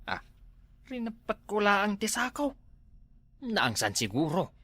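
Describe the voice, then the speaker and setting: A man's voice: high-pitched, wildly swooping pitch, takes it slowly, bright, forceful tone, breathy edge, exaggerated voice. A man playing a mischievous village drinker in a comedy drama, with an Ilocano accent and exaggerated groans and interjections. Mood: gleeful, scheming. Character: mischievous village drinker, gleeful then whimpering with regret. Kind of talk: Ilocano comedy radio-style drama acting.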